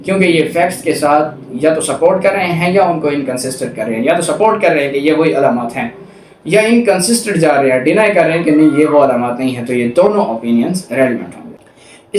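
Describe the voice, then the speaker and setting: A man's voice: on the high side, a little hiss or hum. A man with a Pakistani accent giving an explanatory law lecture in a didactic way, reading statutes aloud and rephrasing each point. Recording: echoey room.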